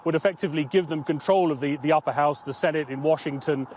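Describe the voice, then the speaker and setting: Outdoor urban noise, male news correspondent, fairly high voice, live explanatory reporting. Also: quick pace, a little mellow.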